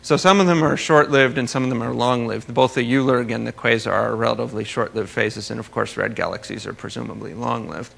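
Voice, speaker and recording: Bright-voiced man lecturing, with gravelly creak. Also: mid-range voice, fairly quick, few pauses, slight background noise. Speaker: astrophysics researcher presenting at a conference. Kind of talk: academic lecture talk with slides.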